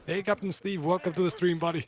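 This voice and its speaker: A man's voice: sits high, steady tone, fast talker, noisy background. A man casually reacting to a game as a live streamer.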